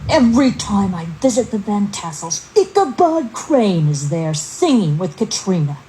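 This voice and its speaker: A woman's voice: mid-range voice, sing-song, mellow, a little hiss or hum, voice pushed up, close to an everyday voice, poor audio. A woman voicing a hot-tempered, swaggering young village tough, fuming emphatically in a storybook drama. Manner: fuming, emphatic, drawn-out threats.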